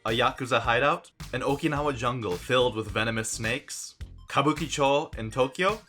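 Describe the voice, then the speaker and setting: Bright, animated young man telling a story. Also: medium pitch, moderate pace, soft background music. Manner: animated, joking storytelling with dry asides. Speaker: vlogger. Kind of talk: casual storytelling vlog.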